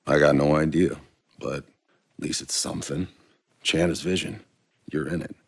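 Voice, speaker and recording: Gruff video game soldier, extremely low voice, world-weary, cynical, blunt, close dry sound. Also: male voice, steady tone, normal speed, no room sound, full character voice. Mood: subdued, earnest. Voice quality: gravelly. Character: gruff, world-weary male Outrider soldier, sardonic and foul-mouthed.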